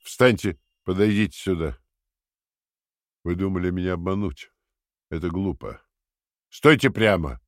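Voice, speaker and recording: An actor playing a cold, calmly sadistic interrogator in an audio drama, speaking in a deliberate, patronising and commanding way. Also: male voice, low-pitched, dry recording, studio quality. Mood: cold, controlled.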